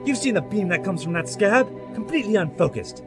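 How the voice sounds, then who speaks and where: Guy doing witty eccentric time traveller hero, sardonic n wordy, high lively voice, audio drama, loud music behind. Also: quick pace. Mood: mocking. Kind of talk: science fiction audio drama acting.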